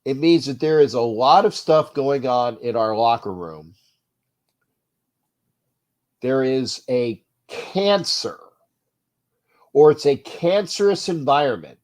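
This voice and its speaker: A man's voice: medium pitch, sing-song, slow pace, long pauses, a little mellow. A man hosting a sports talk livestream, stuffy and nasal from congestion, ranting bluntly and reading out chat messages. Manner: frustrated, blunt, repeats himself, reads chat aloud. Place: cold indoor room.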